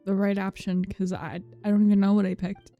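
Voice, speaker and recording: A woman's voice: medium pitch, level tone, fairly quick, no room sound, phone-quality sound. A woman giving casual, playful commentary on a game playthrough, muttering to herself as she goes. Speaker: game streamer. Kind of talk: casual playthrough commentary, sometimes reading game lines aloud. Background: game music.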